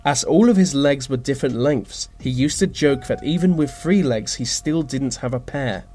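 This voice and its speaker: A man reading scripted narration for a list video, slipping into dry, deadpan asides. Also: medium pitch, moderate pace. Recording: music behind the voice. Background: background music.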